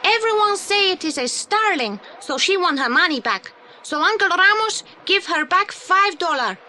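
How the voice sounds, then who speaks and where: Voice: extremely high pitch, animated, moderate pace, sharp tone, slight background noise, exaggerated voice. An actor playing an earnest, polite young boy with a Spanish accent and broken English in an audio play. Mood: earnest, eager. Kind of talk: 1980s audio play acting.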